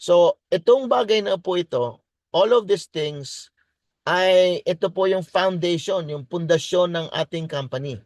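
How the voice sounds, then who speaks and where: A Filipino man with a fairly high voice speaks as a preacher-presenter. He is a native Tagalog speaker who moves between English and Tagalog and restates his points with deliberate emphasis. The sound is very dry, with no room echo. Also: a little mellow. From Philippines. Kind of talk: faith-based business presentation, preaching.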